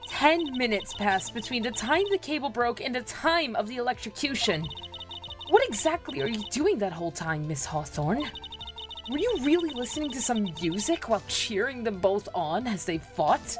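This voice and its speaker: A woman's voice: fairly high pitch, sing-song, moderate pace. A woman voicing a confident, scornful female defense attorney in a courtroom video game, sardonic and theatrical. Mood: accusing. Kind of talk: amateur game voice acting on a livestream. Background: game music.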